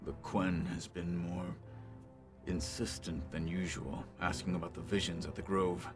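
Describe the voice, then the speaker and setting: Deep-voiced stoic warrior. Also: male voice, level tone, music in the background. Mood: dry, measured. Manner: measured, formal, solemn.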